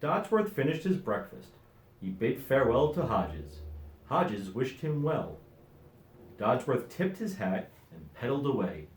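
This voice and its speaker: A middle-aged man reading a children's storybook aloud as an expressive narrator. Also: mid-range voice, unhurried, echoey room, low-quality recording. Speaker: volunteer story reader.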